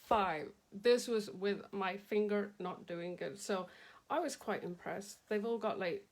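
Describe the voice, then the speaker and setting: A woman's voice: mid-range voice, measured pace. A middle-aged woman with a slightly nasal voice, hosting a casual craft live stream, chatty and rambling, laughing often and greeting viewers by name. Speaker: craft livestream host.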